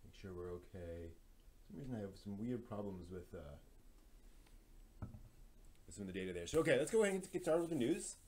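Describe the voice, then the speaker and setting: A man hosting a live stream, reading entertainment news in an informal, somewhat halting way with casual asides. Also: on the low side, big pitch swings, darkish tone. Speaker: live stream host presenting anime news.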